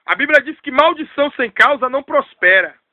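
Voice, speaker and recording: A man's voice: very high-pitched, lively intonation, a little fast, no echo, phone-quality sound. A man, preaching an emphatic, repetitive testimony sermon. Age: 30s. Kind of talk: fervent storytelling sermon.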